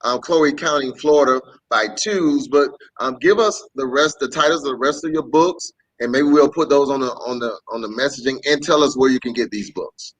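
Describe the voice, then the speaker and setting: A man's voice: mid-range voice, moderate pace, few pauses, poor audio. A man hosting a casual interview, asking a guest questions in a conversational way. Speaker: host.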